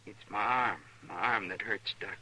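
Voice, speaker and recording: An American actor playing a boastful, pleading wounded gunfighter in a radio western, with a rustic drawl and rough grammar. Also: male voice, lowish voice, moderate pace, sharp tone, a little hiss or hum. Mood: anxious, pleading. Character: whining, boastful wounded gunfighter of the Old West. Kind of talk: 1950s radio western acting. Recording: old-time radio recording.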